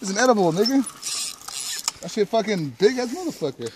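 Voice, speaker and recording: A brash, excitable man shouts slang-heavy banter while fishing a river at night. His voice sits high and swoops all over, and it comes fast. The phone recording is full of outdoor noise. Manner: loud, excitable, profane slang. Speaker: fisherman.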